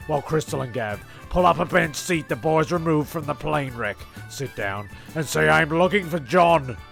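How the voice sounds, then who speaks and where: A man's voice: mid-range voice, vocal fry, fairly bright tone. A man narrating a comic audio story, rambling with sweary asides. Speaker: narrator and creator.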